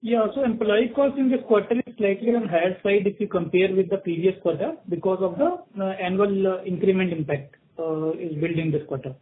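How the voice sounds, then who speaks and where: A man's voice: high voice, fairly quick, hardly any pauses. A man with an Indian accent, a finance executive explaining company costs to an analyst on a conference call. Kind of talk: answering analyst questions on an earnings conference call. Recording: tinny phone conference line.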